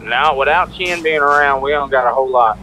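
A man's voice: medium pitch, fairly quick, pushed and bright, a little hiss or hum, rough recording. An American man with a folksy way of talking, chatting casually over game radio. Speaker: player in online police roleplay.

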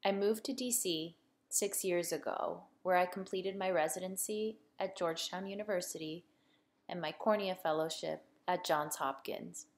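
A woman's voice: on the low side, moderate pace. A woman in her thirties who grew up in Buffalo, New York, an eye surgeon, introducing herself in a friendly way. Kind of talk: read-aloud self-introduction.

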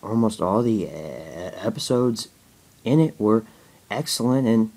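A young man talks casually at home, answering questions from his viewers. His voice sits on the low side, and he stutters, often breaking off and restarting his words between fillers. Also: expressive, measured pace, creaky, dark tone. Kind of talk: casual Q&A talk to camera.

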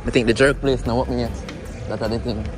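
A man's voice: medium pitch, little pitch movement, fairly quick, music in the background. A young Jamaican man chatting to his viewers while filming street food stalls outdoors on a phone. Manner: chatty, addresses viewers as "people". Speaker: food vlogger. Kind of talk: casual street vlog talking to viewers. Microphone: handheld phone camera.

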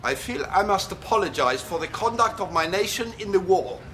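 A high, sing-song voice with a German accent, swooping widely, belonging to an apologetic, painfully formal young German man. He talks stiffly and breaks into shouting. Outdoor noise hums behind him in this TV comedy sketch. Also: expressive, a little fast. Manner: stiff, over-formal, bursts into shouting rants. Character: earnest guilt-ridden young German man.

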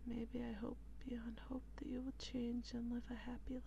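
A woman playing a world-weary, secretive female vampire in an ASMR role play, speaking in a hushed, deliberate, breathy whisper. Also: on the high side, completely flat delivery, muffled recording, slight background noise. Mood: melancholy, wry. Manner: slow, hushed, intense and deliberate.

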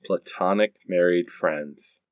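A man's voice: deep voice, slow pace, no echo. A man playing an amiable, sardonic husband in a radio play. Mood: accepting, wry. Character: amiable, sardonic husband making peace with heartbreak.

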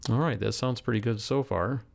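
A man giving a methodical, step-by-step software demonstration as a tutorial voice-over. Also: mid-range voice, fairly quick, creaky. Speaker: tutorial instructor. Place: voice-over screen recording.

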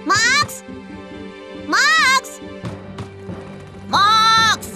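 Caring young female robot, very high, animated delivery, reassuring, for a children's cartoon. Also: extremely slow, full character voice. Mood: anxious. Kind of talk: Russian cartoon dub. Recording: music behind.

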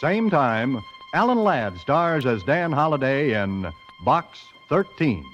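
A man's voice: medium pitch, sing-song, slow. A man with an American accent reading scripted announcements in 1940s radio style. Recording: muffled old radio recording with music.

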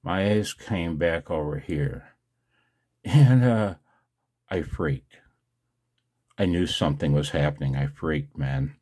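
Slow, deep-voiced man rambling casually. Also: mellow. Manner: self-deprecating, chuckles often. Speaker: ham radio video channel host. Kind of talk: casual rambling monologue to viewers.

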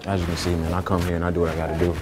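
A man's voice: low-pitched, level tone, rapid-fire, a loud crowd. A man improvising a prank, joking and boasting with frequent laughs while pretending to be a job candidate.

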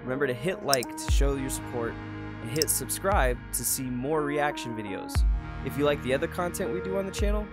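Chill guy doing casual reaction host talk, medium voice, music going. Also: mid-range voice. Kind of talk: casual commentary. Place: small indoor room.

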